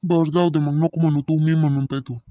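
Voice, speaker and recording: A man's voice: on the high side, level tone, fairly quick, warm tone, no echo, clean studio sound, natural voice. A man voicing practical, placating village fathers in a cartoon family comedy, plain and coaxing, with a rural Varhadi Marathi dialect. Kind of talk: animated family comedy dialogue.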